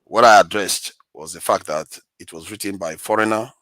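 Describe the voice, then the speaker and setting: A man giving an argumentative rebuttal in a debate, deliberate and insistent, making his case point by point. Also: fairly low pitch, a bit bright, dry recording.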